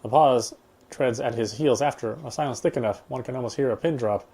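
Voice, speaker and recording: Guy reading visual novel text out loud, talks fast, casual gamer. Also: medium pitch, slightly rough. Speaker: video game player and commentator. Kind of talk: reading game text aloud with casual commentary.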